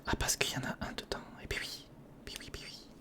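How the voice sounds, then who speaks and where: Guy whispering asmr build commentary, breathy, kinda hesitant and chatty, right up on the mic. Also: quick pace. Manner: hesitant, informal, muttering to the listener. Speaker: ASMR video host. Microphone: close recorder microphone.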